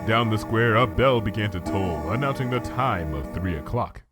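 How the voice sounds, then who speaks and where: A man's voice: fairly low pitch, normal speed, over loud music. A man reading a scripted story aloud as its narrator.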